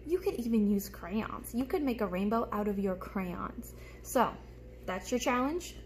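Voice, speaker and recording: A young woman speaks like a teacher to little children, in a mid-range voice. She explains each thing in simple, expressive phrases, show-and-tell style. It is recorded on a phone in a room at home, with some light background noise. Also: medium pitch, measured pace. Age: young adult. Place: small room at home, indoors. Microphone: phone held close in front.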